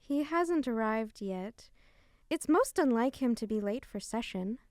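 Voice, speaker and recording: A woman's voice: on the high side, expressive, unhurried, a little mellow, no room sound, studio quality. A woman acting in an audio drama as an earnest, dutiful fairy queen who speaks in a formal, polite way. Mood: courtly, concerned.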